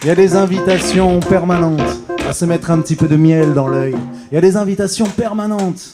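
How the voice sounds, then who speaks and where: A man's voice: sits high, fairly quick. A man performing a spoken song as a singer-songwriter. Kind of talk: spoken song over acoustic guitar. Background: plucked guitar music.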